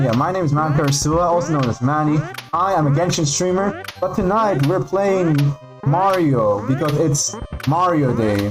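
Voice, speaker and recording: A man from Peru whose first language is Spanish, chatting casually and excitably while streaming a game. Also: on the high side. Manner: rambling, excitable, reads out names with pauses. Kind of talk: casual livestream chat while gaming. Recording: faint background music.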